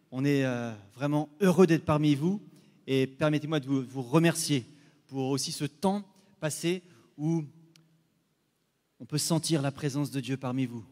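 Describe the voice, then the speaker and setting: Higher-voiced man preaching in a reverberant hall. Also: darkish tone. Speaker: visiting preacher. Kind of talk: church address to a congregation. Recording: reverberant hall, long echo.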